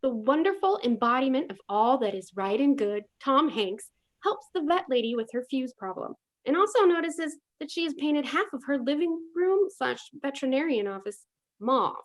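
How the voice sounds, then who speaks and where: A woman's voice: high voice, animated, moderate pace. A woman narrating a scripted comedy with sassy, mock-dramatic delivery.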